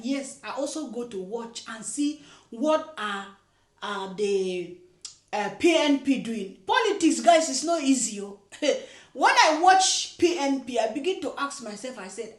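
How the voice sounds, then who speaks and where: A woman hosting her own commentary channel, talking in a high, bright voice. She is chatty and emphatic, laughs often, and circles back over her points. Her voice rings in a noticeably echoey room. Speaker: channel host. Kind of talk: political commentary vlog.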